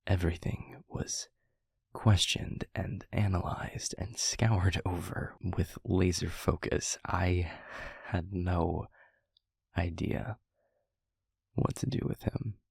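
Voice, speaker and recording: Man playing a hushed, husky, low-voiced demon mentor. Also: fairly even intonation, dry recording, whispered, studio quality, heavily acted. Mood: amused, exasperated. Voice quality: breathy, gravelly low murmur. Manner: hushed, halting, unhurried, confiding. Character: adult male demon mentor, reflective, gentle, quietly amused. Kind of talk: intimate roleplay audio monologue, storytelling to a listener. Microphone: close microphone, dry.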